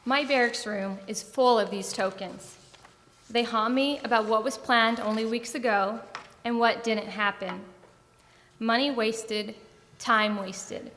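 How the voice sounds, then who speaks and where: A young American woman, a veteran, reading her personal essay on stage in a measured, solemn way. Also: fairly high pitch, steady tone, fairly bright tone, a little hiss or hum. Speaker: military veteran performer. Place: theatre stage in an arts center. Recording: reverberant hall.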